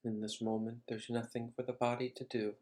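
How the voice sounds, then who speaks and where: A man leading a guided meditation as a teacher, speaking calmly in short, measured phrases with long pauses. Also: mid-range voice.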